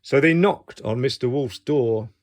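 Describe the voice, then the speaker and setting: A man reading a picture book aloud to young children as an expressive storyteller. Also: mid-range voice, measured pace.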